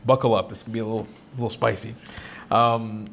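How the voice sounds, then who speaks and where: A man's voice: on the low side, little pitch movement, brisk, slight background noise. A man hosting a live call-in stream, arguing his opinions in a blunt, emphatic, sometimes sweary way. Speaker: live stream host taking calls.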